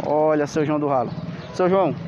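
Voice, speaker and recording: A man's voice: on the high side, a little fast. A man with a Pernambuco accent filming a walking tour of an outdoor street market, pointing things out and asking viewers for likes. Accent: Pernambuco Portuguese. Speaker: travel vlogger. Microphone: handheld camera. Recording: lo-fi camera audio with street noise.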